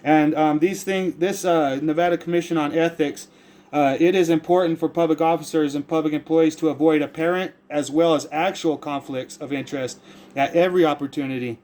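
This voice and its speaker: An American man speaking as a caller with a complaint, earnest and rambling, halting as he searches for formal words and often repeats himself. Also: fairly high pitch, steady tone, a little mellow. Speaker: caller making a complaint to a public agency.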